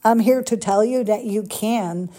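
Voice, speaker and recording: Middle-aged Dutch-accented woman, podcast host, soft mellow tone, friendly pep-talk delivery. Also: medium pitch, a little slow. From Netherlands. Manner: chatty, encouraging, direct address to listeners. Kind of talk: casual solo podcast monologue.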